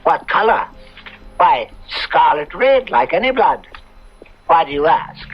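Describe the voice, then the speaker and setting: An actor playing a fatherly, reassuring nobleman in an old-time radio drama, genial and measured in his speech. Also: on the high side, very animated, slightly rough, exaggerated voice. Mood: puzzled, grave. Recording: muffled 1970s radio drama with music.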